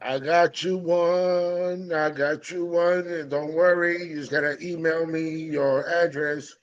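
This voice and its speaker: A man's voice: on the high side, slow pace. A man in his early fifties with lots of energy, chatting casually about fish with friends. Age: early 50s. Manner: energetic, wired. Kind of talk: casual chat. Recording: dull lo-fi sound.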